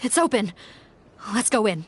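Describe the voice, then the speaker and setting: A woman's voice: a little high, big pitch swings, moderate pace, a little hiss or hum. A woman voicing an earnest, commanding young female officer in a fantasy video game, with music under her lines. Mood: focused. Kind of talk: scripted video game voice acting.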